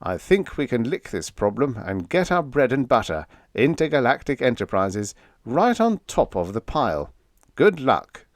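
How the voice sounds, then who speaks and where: Rocket company chief, played by a male reader: persuasive, rallying, lively pitch movement, sci-fi audiobook. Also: normal speed, no room sound, studio quality, close to an everyday voice. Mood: confident, rallying. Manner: explanatory, rallying speechmaking. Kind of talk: audiobook character dialogue.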